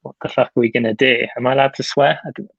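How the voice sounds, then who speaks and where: Halting man, gravelly voice. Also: medium pitch, steady tone, fairly bright tone, dry recording, lo-fi sound. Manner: hesitant, many fillers and restarts. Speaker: film director. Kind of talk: casual interview answers.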